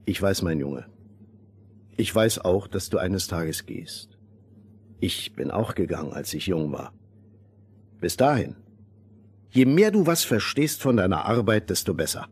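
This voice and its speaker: An actor playing a dry, practical miller father, gruff and wry but fond, in a fairy-tale radio play. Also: low-pitched, very animated, a little slow, long pauses, full character voice. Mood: resigned, fond.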